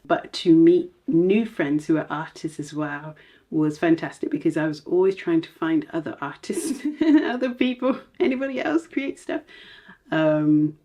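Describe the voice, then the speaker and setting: A woman's voice: low-pitched, lively intonation, unhurried, dark tone. A woman, an artist, talking casually and unhurriedly about meeting other artists. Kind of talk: casual conversation.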